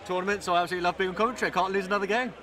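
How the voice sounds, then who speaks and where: British man, co-commentator, high voice, dry teasing humour, clear crowd noise. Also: steady tone, racing. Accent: British English. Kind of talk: live game commentary. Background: crowd chatter of a tournament hall.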